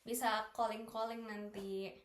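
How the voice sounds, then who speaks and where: A woman's voice: fairly high pitch, flat delivery, unhurried, a crowd in the background. A young woman, bantering casually as a co-host in a playful, teasing, slangy way. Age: young adult. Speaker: podcast co-host prompting others. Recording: echoey room, noticeable background noise.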